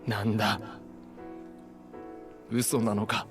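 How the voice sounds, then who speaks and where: A man's voice: lowish voice, flat delivery, unhurried, natural voice. An actor playing a brooding, dry but tender young man in a Japanese visual novel. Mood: dry, resigned. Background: game music.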